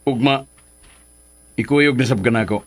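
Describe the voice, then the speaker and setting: An actor with a Filipino accent playing a scheming, lovestruck male villain in a radio drama, speaking in a rough, exaggerated way. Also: medium pitch, close to an everyday voice. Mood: needling. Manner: slow, exaggerated, swinging delivery.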